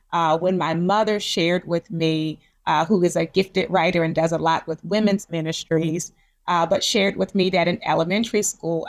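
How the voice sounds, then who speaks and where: A woman psychologist sharing personal history in a reflective, storytelling way as an interview guest. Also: lowish voice. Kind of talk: conversational interview.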